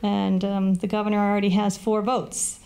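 A woman in her sixties delivers a measured, earnest statement at a candidate forum. Her voice has a gravelly, fry-tinged edge at a middling pitch. She speaks into a table microphone in a small meeting room. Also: flat delivery, a little mellow. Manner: earnest, measured, with a brief laugh at running out of time. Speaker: political candidate, incumbent councilor. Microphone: table microphone on a stand.

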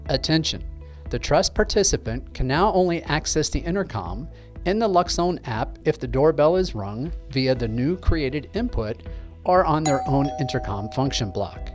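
A man's voice: on the high side, animated, moderate pace, a little mellow, soft background music. A man, giving a scripted step-by-step tech tutorial as presenter. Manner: clear step-by-step explaining. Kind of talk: scripted instructional narration.

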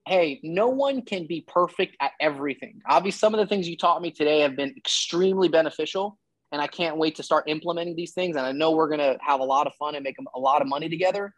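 A man's voice: on the high side, a bit bright, no room sound. A man in his thirties giving casual, emphatic and blunt advice as a coach on a one-on-one video call. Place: indoor room, video call.

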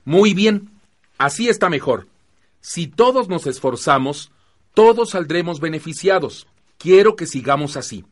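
An actor playing an earnest, encouraging youth workshop leader in a radio drama, speaking in a measured, didactic way. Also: male voice, fairly high pitch, natural voice. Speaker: group facilitator and narrator. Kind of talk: radio drama acting, scripted narration.

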